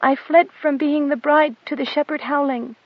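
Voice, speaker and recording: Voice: sits high, fairly even intonation, moderate pace, no echo, dull audio, pitched above the performer's own voice. An audiobook narrator voicing a timid, self-abasing young girl who pleads fearfully. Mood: fearful pleading.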